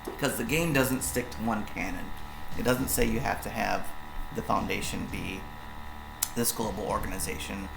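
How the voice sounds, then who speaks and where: Hoarse, low gravelly man explaining game rules. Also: reverberant, background noise. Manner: rambling, many fillers and restarts, explains with quick examples. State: hoarse, voice going. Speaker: game designer explaining his own tabletop RPG. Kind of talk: casual walkthrough of game rules on a stream.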